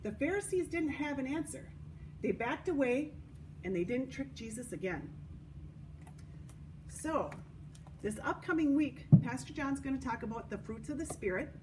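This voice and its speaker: High-voiced woman telling stories, distant noisy recording. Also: expressive, normal speed, long pauses. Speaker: church children's story time host. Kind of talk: children's story reading and devotional talk. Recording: distant microphone, noticeable background noise.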